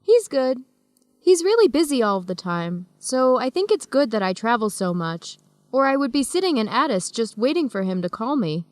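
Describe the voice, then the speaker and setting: Young female student, friendly, joking, highly varied intonation, radio drama, dry studio sound. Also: sing-song, moderate pace, darkish tone, no room sound. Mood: chatty. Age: young adult. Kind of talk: radio drama dialogue for English learners.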